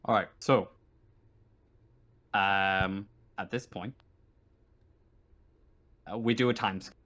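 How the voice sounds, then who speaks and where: A man running a tabletop game as game master, speaking informally and haltingly as he improvises. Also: on the low side, steady tone, fairly bright tone.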